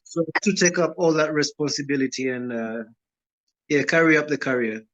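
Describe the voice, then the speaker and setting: Calm man, dull lo-fi sound. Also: on the high side. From Uganda, Rwandan roots. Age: 30s. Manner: calm and measured, unhurried with pauses. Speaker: guest musician. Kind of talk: interview answers.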